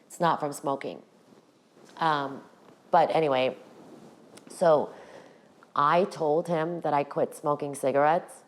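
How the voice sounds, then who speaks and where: A middle-aged woman with a raspy voice, hosting her own podcast and talking frankly to her viewers about her past. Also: deep voice, fairly even intonation, slow, pauses often. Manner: candid, direct address to viewers. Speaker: podcast host. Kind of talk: personal storytelling to camera.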